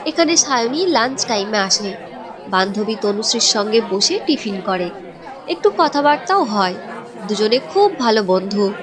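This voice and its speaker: A woman's voice: mid-range voice, lively intonation, moderate pace, crowd noise. A woman reading scripted narration as the storyteller of a story. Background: music.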